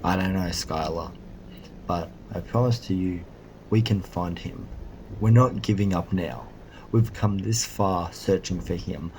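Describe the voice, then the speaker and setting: A man playing a flippant, loyal young adventurer in an amateur sci-fi audio drama, speaking informally and excitably. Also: deep voice, measured pace, a little hiss or hum, low-quality recording. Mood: determined.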